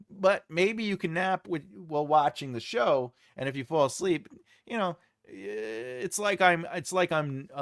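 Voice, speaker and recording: A man's voice: on the high side, no room sound, clean studio sound. A man hosting a writing livestream, chatting casually and jokingly as he reads and answers viewer comments, rambling with lots of fillers.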